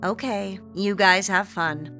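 A wry, watchful woman manager in an audio drama. Her voice is bright and middling in pitch, over faint music. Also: steady tone, takes it slowly. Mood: dry.

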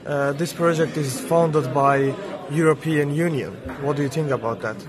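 Male interviewer, second-language English, fairly high pitch, background chatter. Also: fairly even intonation, moderate pace. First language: not English. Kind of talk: asking interview questions. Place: busy indoor school space. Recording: chatter around the voice.

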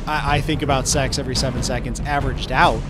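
A man's voice: mid-range voice, steady tone, fast talker. A man co-hosting a let's play, talking casually, laughing often and rambling as he corrects himself. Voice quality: tenor singing voice. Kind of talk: casual gaming commentary. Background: loud game music.

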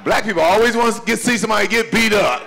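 A man in his forties from doing stand-up comedy at a church celebration, swinging between loud high exclamations and low confiding asides. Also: fast talker, gravelly, some chatter behind, a little hiss or hum. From Chicago, USA. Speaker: comedian pastor. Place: banquet hall at a church event. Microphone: handheld microphone. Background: audience laughter.

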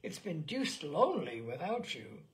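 A woman's voice: low voice, sing-song, a little slow, voice pushed down. A woman voicing an eager, hearty young British male colonial officer in a story reading, with clipped exclamations. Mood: hearty relief.

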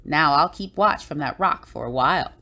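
A woman's voice: sits low, animated, normal speed, bright, forceful tone, pitched below the performer's own voice, exaggerated voice. A woman voicing a boastful young boy dinosaur trickster in a storybook, with theatrical, exclaiming delivery. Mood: cocky, teasing.

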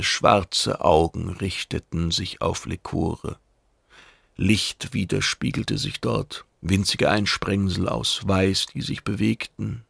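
A man's voice: low voice, gravelly, slightly rough, dry recording, studio quality. A man reading a science fiction novel aloud as scripted dramatic narration. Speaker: audiobook narrator.

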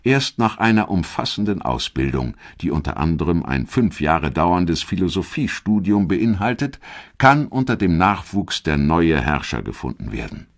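A man reading scripted history documentary narration in a measured, clearly articulated storytelling manner. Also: sits low, sing-song.

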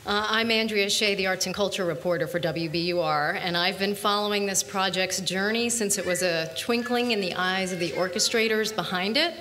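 A woman's voice: mid-range voice, a little fast, few pauses, noisy background. A woman hosting a live outdoor ceremony, giving a welcome speech over a reverberant sound system. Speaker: arts reporter acting as event host.

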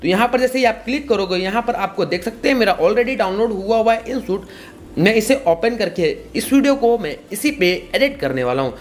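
A man's voice: high-pitched, lively intonation, fairly quick, a little hiss or hum. A young man giving a casual, repetitive step-by-step tutorial. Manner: repetitive, explanatory. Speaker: tutorial host.

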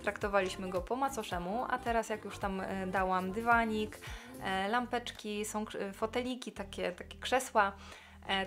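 A woman in her thirties, talking casually about cleaning her home in a relaxed voice-over with many fillers. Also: medium pitch, vocal fry. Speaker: cleaning vlogger.

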